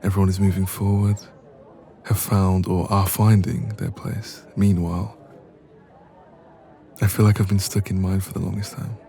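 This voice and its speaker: A man playing an insecure, anxious young man confiding in a friend in an intimate audio roleplay, soft-spoken and hesitant. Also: sits low, level tone, moderate pace, creaky, pauses often. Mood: downcast, vulnerable.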